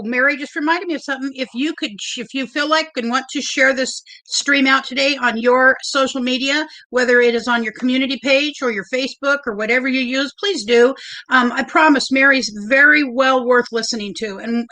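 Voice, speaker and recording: Chatty high bright-voiced woman host. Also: rough recording. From Oregon. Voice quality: loud, big voice. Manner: chatty, nervous, effusive. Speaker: livestream host. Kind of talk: casual livestream chat.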